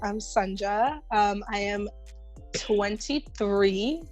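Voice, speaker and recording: A woman's voice: medium pitch, drawn-out words, soft background music. A woman in her twenties, born in Haiti, co-hosting a casual podcast and joking around with many laughs and ums. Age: 20s. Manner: laughing, joking, loose with fillers. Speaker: podcast co-host. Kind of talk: casual group podcast chat.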